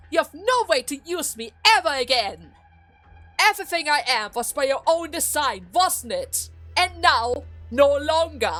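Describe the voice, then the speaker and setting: Woman voicing a fiery, high-pitched young noblewoman. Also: sharp tone, exaggerated voice. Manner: heated, accusing, emphatic. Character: young noblewoman, defiant daughter seething with righteous anger. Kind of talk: dramatic game story voice acting.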